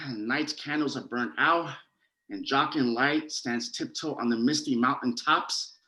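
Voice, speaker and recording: Man acting lovestruck boyfriend, slow and bright-voiced. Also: medium pitch, poor audio. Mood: tender, wistful. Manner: dramatic, theatrical delivery. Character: lovestruck teenage boyfriend reluctant to leave his girl. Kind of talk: Shakespearean verse acting in an online staged reading.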